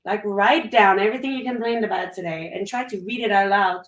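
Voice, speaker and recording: A woman's voice: mid-range voice, a little fast, far from the mic. A woman whose first language is French talks in a candid, upbeat way, in long run-on thoughts, as a guest in casual conversation. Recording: echoey room, voice off-mic.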